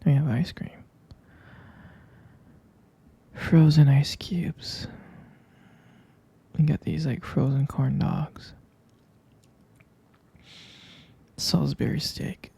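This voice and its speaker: A man playing a tender, doting boyfriend in an ASMR roleplay, murmuring slowly and affectionately in a breathy, husky whisper. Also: fairly high pitch, steady tone, mellow, full character voice. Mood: sleepy, caring. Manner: slow, hesitant, affectionate murmuring with pet names. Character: tender, doting vampire-romance boyfriend soothing partner to sleep.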